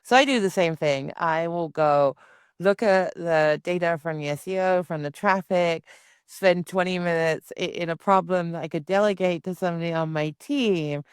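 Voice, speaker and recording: Chatty woman host, smooth clear voice, dry close recording. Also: fairly low pitch, normal speed, no echo. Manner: chatty, talks through ideas aloud. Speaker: podcast host. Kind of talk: casual interview chat.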